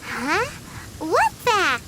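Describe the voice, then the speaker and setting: A woman's voice: very high voice, huge pitch swings, very slow, exaggerated voice. A woman voicing a small, childlike fairy companion in a video game, cutesy and exclamatory, with game music behind her. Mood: puzzled, musing.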